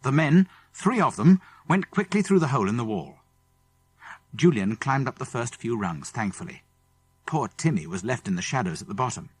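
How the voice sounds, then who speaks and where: Animated British male children's story narrator. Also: medium pitch, moderate pace, breathy edge. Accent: British English. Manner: dramatic storytelling. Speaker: audiobook narrator. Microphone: close studio microphone.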